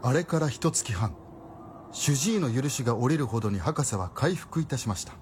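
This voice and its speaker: An actor playing an old-fashioned storyteller, narrating a radio drama in a formal, dramatic style. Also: male voice, mid-range voice, animated, moderate pace, slight background noise, natural voice. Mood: solemn storytelling. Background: loud music.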